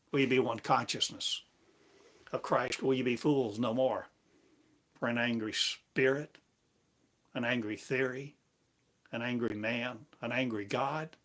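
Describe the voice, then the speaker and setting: Slow, chanting male preacher. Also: medium pitch, fairly bright tone. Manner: chanting, incantatory, drawn-out phrases. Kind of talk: slow free-form spiritual sermon. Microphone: live stream device.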